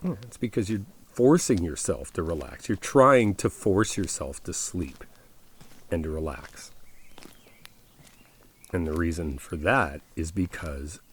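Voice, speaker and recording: A man's voice: low voice, expressive, measured pace, husky, exaggerated voice. A man playing a caring, insistent best friend in an ASMR roleplay, talking straight to the listener in a casual, coaxing, reassuring way. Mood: gentle, coaxing. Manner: conversational, coaxing, addressing the listener directly. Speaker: ASMR roleplay audio performer.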